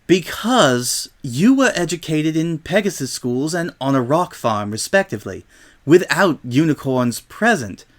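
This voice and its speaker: A man's voice: on the high side, very animated, measured pace. A man voicing a refined female unicorn lady with prim, formal, precise phrasing in a storybook reading. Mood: prim, exasperated.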